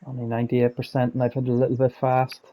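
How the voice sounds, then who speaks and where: A man hosting a golf game playthrough with chatty, self-critical play-by-play, a little hoarse and losing his voice. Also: mid-range voice, monotone, fast talker, lo-fi sound. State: losing his voice, clearing throat. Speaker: gaming channel host. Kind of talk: gameplay commentary.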